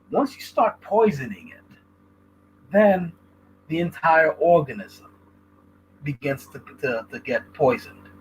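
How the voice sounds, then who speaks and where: A man from Haiti hosting a streamed talk show. He argues his opinions emphatically, and his pitch swings widely and sits a bit high. Faint noise hums under the call. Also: a bit bright, rough recording. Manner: emphatic, rhetorical, stops to ask "right?". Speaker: channel host. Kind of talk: opinionated commentary on a livestream.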